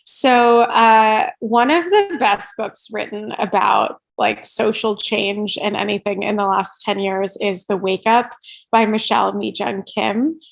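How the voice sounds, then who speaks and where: A woman's voice: medium pitch, unhurried, vocal fry. A woman giving conversational interview answers as an expert guest, informal, often dropping "like" and "right" into her sentences. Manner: informal, peppers speech with "like" and "right". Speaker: podcast guest, workplace inclusion expert.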